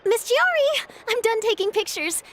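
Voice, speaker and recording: A woman's voice: extremely high pitch, sing-song, moderate pace. A woman voicing an eager, upbeat young female newspaper reporter, in game voice acting. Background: game music.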